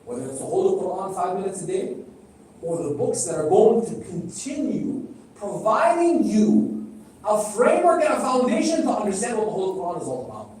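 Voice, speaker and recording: A middle-aged man whose first language is Arabic preaches a sermon from some distance off the mic, his voice ringing through a very echoey hall. He sounds high for a man and mellow, and he keeps putting questions to the crowd and repeating phrases to drive them home. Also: big pitch swings, moderate pace, a little hiss or hum. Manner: direct, questioning the audience, repeating phrases for emphasis. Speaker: speaker at a religious gathering. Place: large hall.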